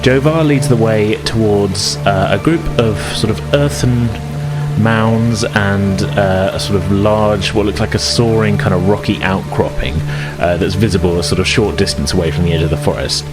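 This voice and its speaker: Low-voiced man running a tabletop game over music. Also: moderate pace. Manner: hesitant, improvising, conversational. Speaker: game master. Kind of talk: narrating a tabletop roleplaying game. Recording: loud music and rain ambience behind. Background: music, rain sound effects.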